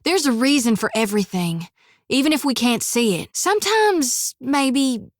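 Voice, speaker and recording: A folksy young priestess. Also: female voice, sits high, very animated, moderate pace, no echo, studio quality. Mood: folksy, friendly. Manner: rural folksy drawl with "reckon" and "ain't"; drops to hushed whispers. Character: young devout human priestess, folksy and earnest. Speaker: companion character in a fantasy role-playing game. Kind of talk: video game voice acting. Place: dry recording booth.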